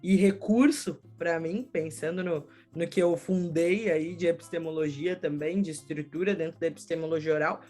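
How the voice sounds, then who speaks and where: A woman's voice: sits low, steady tone, moderate pace, few pauses, warm tone, faint music behind. A woman with a southern Brazilian accent answering interview questions conversationally and explaining things, often adding tag questions. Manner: explanatory, frequent "né" tags. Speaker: schoolteacher, storyteller and researcher, interview guest.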